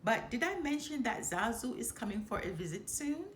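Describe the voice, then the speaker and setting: A woman's voice: on the high side, sing-song, normal speed. A woman voicing a sly, grumpy adult male lion in a storybook, grumbling and disdainful. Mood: scheming. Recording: roomy echo, background noise.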